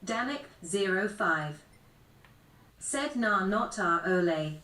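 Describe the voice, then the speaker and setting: A synthetic woman's voice reading out chat messages in a flat, even way. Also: medium pitch, slow. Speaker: text-to-speech chat reader. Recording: distant, roomy, very noisy stream audio.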